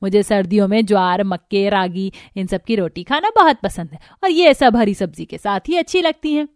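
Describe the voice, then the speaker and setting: A woman's voice: medium pitch, animated, normal speed, no echo, clean studio sound, lightly acted. A woman playing a stubborn, opinionated mother in a family cartoon, with an emphatic, argumentative way of talking. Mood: insistent. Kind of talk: Hindi family cartoon voice acting.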